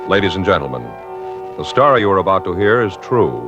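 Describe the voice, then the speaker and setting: Grave, very deep 1950s radio announcer, a man. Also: big pitch swings. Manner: grave, measured, declarative. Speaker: show announcer. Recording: dull old radio recording with loud music.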